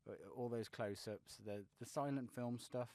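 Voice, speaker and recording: A man's voice: medium pitch, fairly even intonation, normal speed, darkish tone, no room sound. A young man, co-hosting a casual film podcast with dry, teasing remarks. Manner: teasing, dry, hesitant with fillers. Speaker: podcast co-host.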